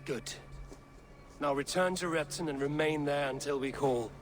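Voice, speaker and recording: A man's voice: fairly high pitch, normal speed, soft background music, natural voice. A male actor voicing a level-headed Viking raider in a video game, terse and commanding. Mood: stern. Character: stern, level-headed Viking raider, a seasoned warrior man. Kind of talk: dramatic video game acting.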